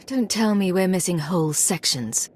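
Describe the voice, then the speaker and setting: Woman acting female spy, lowish pitch, cool, dry, probing, faint background noise, video game. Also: moderate pace, close to an everyday voice. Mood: cool, inquisitive. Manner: cool, questioning. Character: cool, probing female secret agent, dry and self-possessed.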